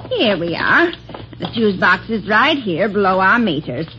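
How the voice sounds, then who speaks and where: A woman's voice: mid-range voice, very animated, unhurried. An actress playing a friendly, chatty neighbor lady in 1950s radio style. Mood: helpful. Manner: helpful, homely chatter. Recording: dull old radio broadcast with hiss.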